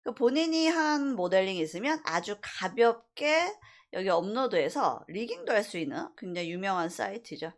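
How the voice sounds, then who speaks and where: Lively-voiced woman teaching software. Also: medium pitch, moderate pace. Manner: explanatory, with frequent fillers and throat clearing. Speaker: university animation instructor. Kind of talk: screen-recorded software tutorial.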